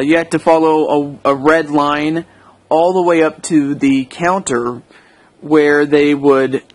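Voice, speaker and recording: An American man talks through an unscripted personal audio log in a slightly high voice. His delivery is slow and hesitant, wandering and doubling back to fix his own phrasing. The sound is dry, with very little room echo. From United States. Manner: hesitant, rambling, self-correcting. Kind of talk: casual unscripted monologue.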